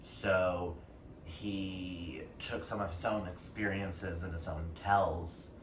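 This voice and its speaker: A man's voice: sits low, little pitch movement, slow pace, recorded from a distance. A man chatting casually to viewers about books in a gushing, emphatic way. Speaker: book reviewer on a vlog. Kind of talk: casual book chat to viewers. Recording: echoey room with faint background noise.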